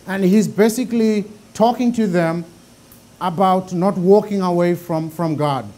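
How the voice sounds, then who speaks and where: Man with a deep Zimbabwean accent. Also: measured pace, dark tone. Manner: conversational, repeats words while thinking. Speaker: Bible teacher. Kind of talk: classroom Bible teaching.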